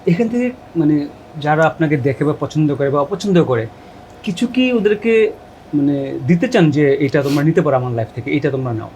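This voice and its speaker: Mellow Bangladeshi male interviewer, lively pitch. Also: brisk, slight background noise, rough recording. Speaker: interview host. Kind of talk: conversational interviewing, mixing in English.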